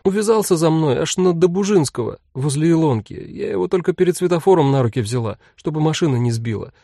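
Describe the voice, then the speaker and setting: A man's voice: a little high, expressive, quick pace, vocal fry, warm tone, no echo, voice pushed up. An earnest young girl of twelve , acted by a male narrator, rambles hopefully in a lightened, raised voice. Her delivery is timid and pleading, in a dry, close storybook recording. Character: earnest twelve-year-old girl pleading to keep kitten.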